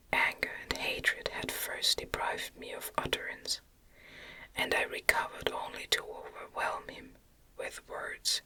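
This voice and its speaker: Woman whispering very slowly, bright and breathy. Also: poor audio. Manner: gentle, slow, deliberate. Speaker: ASMR reader. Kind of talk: whispered ASMR audiobook reading of a gothic novel.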